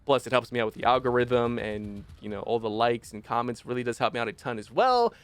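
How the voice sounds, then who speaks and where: A young man with a phlegmy, rough voice commenting casually on a game, rambling and correcting himself as he gives his opinions. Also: medium pitch, expressive, brisk, no room sound. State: phlegmy, rough voice after illness. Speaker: gaming video host.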